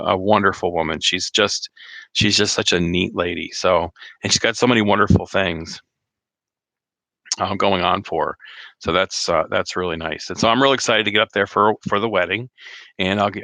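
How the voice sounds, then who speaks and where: Chatty man, bright, slightly hoarse. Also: on the low side, no room sound. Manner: rambling, chatty, many fillers and restarts. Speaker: RV vlogger hosting a live chat. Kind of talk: casual live-stream chat.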